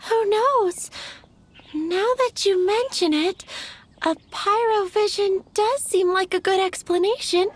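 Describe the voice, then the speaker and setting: A woman's voice: extremely high pitch, takes it slowly. A woman playing a young, smitten, earnest townswoman in a video game, speaking wistfully. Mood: wistful, musing.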